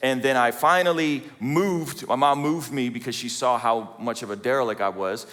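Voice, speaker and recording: A man's voice: medium pitch, normal speed, a bit bright. A man in his forties from Azerbaijan, telling his life story with self-deprecating jokes as a conference speaker. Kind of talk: personal story talk to a conference audience. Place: large conference hall. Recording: long hall reverb.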